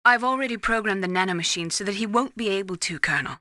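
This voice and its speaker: A woman playing a composed, secretive scientist in a video game, explaining things in a cool, precise, measured way. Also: mid-range voice, bright, forceful tone, no echo, studio quality, natural voice. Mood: clinical, informative.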